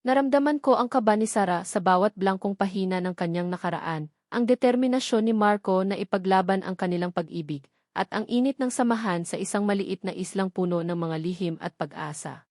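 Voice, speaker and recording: A woman's voice: medium pitch, fairly quick, a little mellow, no room sound, clean studio sound. A woman with a Filipino accent narrating a scripted romantic story in a sentimental, earnest read-aloud manner. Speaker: audiobook narrator.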